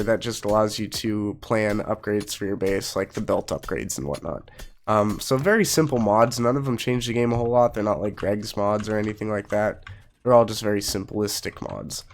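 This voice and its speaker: A man's voice: lowish voice, moderate pace, a little hiss or hum. A man getting over a cold, giving casual let's play commentary as host of a gaming channel, explaining things in an informal, rambling way.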